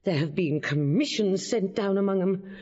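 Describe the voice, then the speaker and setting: A woman's voice: mid-range voice, moderate pace, mellow, lightly acted. A woman playing a dignified, earnest queen pleading boldly in classical verse for an audio drama. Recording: muffled, long hall reverb.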